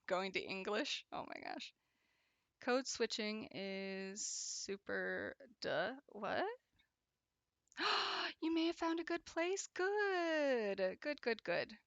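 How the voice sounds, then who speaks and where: Young woman, craft streamer, casual chat, bright, gravelly, dry close sound. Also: medium pitch, dry recording, highs cut off. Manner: chatty, laughs often, trails off into restarts. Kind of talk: casual chat while crocheting and reading chat.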